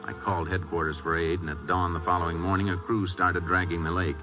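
An actor playing a calm, shrewd Texas Ranger with an American accent and laconic manner in a 1950s radio western. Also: male voice, deep voice, quick pace, music in the background, lightly acted. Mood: measured narrating. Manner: laconic, understated. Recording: noisy, dull old radio recording.

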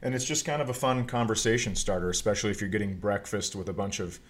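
Podcast host: American male, middle-aged, lowish voice, loose comedic storytelling in a casual conversational style. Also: fast. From northern Minnesota, United States.

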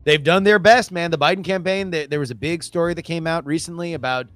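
A man co-hosting a casual political chat podcast. He talks in animated, emphatic bursts and stammers at times. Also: on the high side, fast talker, dry recording, faint music behind. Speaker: podcast co-host.

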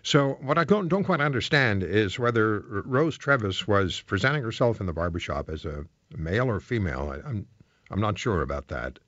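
A man's voice: fairly low pitch, big pitch swings, normal speed, fairly bright tone, no echo. A man from Canada hosting a live radio interview, probing in a conversational way. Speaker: radio host. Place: radio studio. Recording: slightly muffled, dry radio studio sound.

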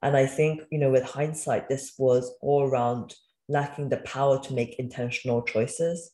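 A woman in her thirties, raised in Wales after a childhood move from China, recounting her upbringing in a relaxed, reflective interview manner with frequent "um"s. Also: rumbling low, normal speed. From born in China, raised in Wales. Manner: reflective, candid, uses fillers like um and you know. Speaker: guest, entrepreneur. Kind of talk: conversational interview storytelling. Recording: echoey room.

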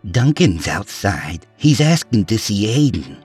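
A man's voice: fairly low pitch, sing-song, a little slow, a little mellow, breathy edge, faint music behind, voice pushed up, heavily acted. A man voicing an apologetic older woman, a protective grandmother, subdued and hesitant, in an audiobook story. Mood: uneasy.

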